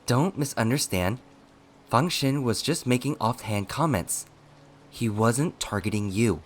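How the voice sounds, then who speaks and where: A man's voice: sits low, animated, normal speed, a little hiss or hum. Earnest young prince keeping peace, voiced by a man. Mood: alarmed, pleading. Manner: earnest, pacifying.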